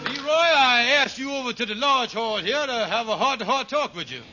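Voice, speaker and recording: An actor playing a scheming, cajoling lodge leader in a 1940s radio comedy, folksy and prone to stammering. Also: male voice, extremely high pitch, animated, normal speed, over loud music. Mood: wheedling. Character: scheming, wheedling male lodge leader and brother-in-law. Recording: noisy, dull old radio recording with clicks.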